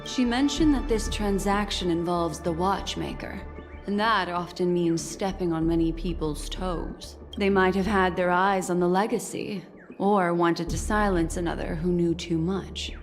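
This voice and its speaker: An actress playing a composed, cryptic and shrewd woman guide in a video game, speaking in a measured, deliberate way. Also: lowish voice, soft background music. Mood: grave, musing. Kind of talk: scripted video game dialogue.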